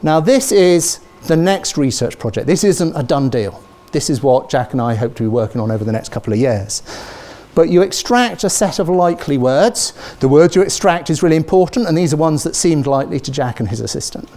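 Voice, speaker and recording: Lively British man lecturing a hall. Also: fairly high pitch, fairly quick. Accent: British English. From Britain. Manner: animated, asks the audience "okay?" and "yeah?" often. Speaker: professor giving a lecture. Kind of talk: public university lecture. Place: large lecture theatre with a seated audience.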